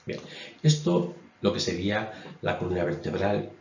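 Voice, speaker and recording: Man, sixties, low-pitched, measured lecturer, repeats phrases, webcam at desk, echoey room. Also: expressive, vocal fry. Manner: measured, repeats key phrases, frequent "eh" fillers. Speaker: naturopath and doctor giving a talk. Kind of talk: explanatory lecture while drawing on a diagram. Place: indoors in front of a stone wall. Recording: roomy echo, dull lo-fi sound.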